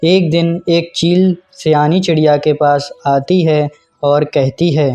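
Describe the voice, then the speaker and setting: A man's voice: a little high, level tone, slow, warm tone, highs cut off, soft background music. A man narrating a scripted children's cartoon story.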